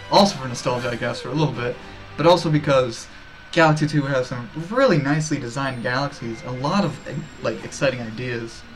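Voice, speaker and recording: A man's voice: fairly high pitch, rough recording. Giggly young man streaming a game. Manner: chatty, giggly, reads chat aloud. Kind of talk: casual live-stream chat. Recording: game music underneath.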